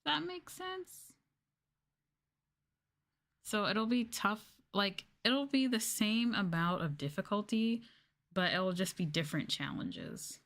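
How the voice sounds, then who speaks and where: A young adult woman chatting casually and softly as an ASMR streamer, candid and mildly sweary. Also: mid-range voice, sing-song, slow, vocal fry, pauses often. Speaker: VTuber streamer. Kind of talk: soft-spoken ASMR casual chat.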